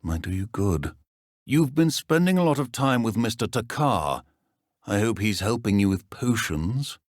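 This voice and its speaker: An actor playing a stern middle-aged British wizard professor in a video game, speaking in a measured, dry, formal way. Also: male voice, lowish voice, very animated, dry recording. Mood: wry.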